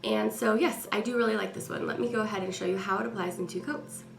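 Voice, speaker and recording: A woman's voice: medium pitch, fairly even intonation, fast, gravelly. A woman vlogger giving a chatty, rambling product review while stuffy and congested from a cold. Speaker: beauty vlogger. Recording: echoey room with faint music.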